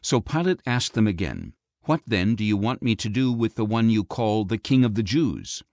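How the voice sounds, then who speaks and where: A man reading scripture as an audiobook narrator, in a measured, expressive storytelling delivery. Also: fairly low pitch, no room sound, studio quality.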